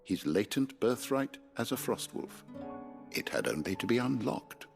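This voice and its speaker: An actor with an English accent playing a learned, courtly scholar in a fantasy game, formal and eloquent. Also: male voice, medium pitch, sing-song, measured pace, creaky, soft background music. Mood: scholarly, intrigued. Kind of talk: video game drama acting.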